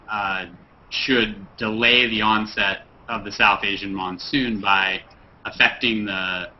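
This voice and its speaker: A man, a climate scientist, explaining his research carefully with frequent pauses and fillers over a video call. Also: lowish voice, a little hiss or hum. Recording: dull lo-fi video call audio.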